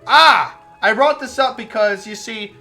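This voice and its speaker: A woman streamer chatting casually while playing a visual novel, rambling and swearing, with a soft, relaxing voice. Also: fairly high pitch, measured pace, faint music behind. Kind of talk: casual livestream commentary over a visual novel.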